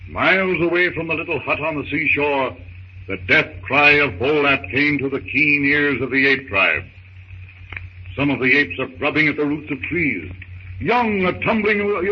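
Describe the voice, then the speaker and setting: High-pitched dramatic old-time radio narrator, man. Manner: dramatic, suspenseful, declamatory. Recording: muffled, very noisy old radio recording.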